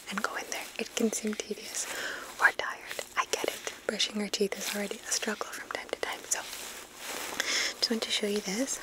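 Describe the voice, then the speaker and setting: Softly whispering woman in ASMR roleplay. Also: medium pitch, low-quality recording. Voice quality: breathy whisper. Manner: gentle, slow, reassuring personal attention. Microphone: close ASMR microphone.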